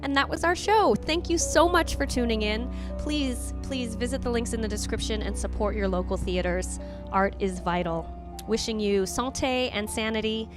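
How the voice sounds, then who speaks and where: A woman's voice: fairly high pitch, normal speed, hardly any pauses, over music. A woman speaking as host of a theatre audio drama show, addressing listeners directly. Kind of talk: spoken sign-off to listeners.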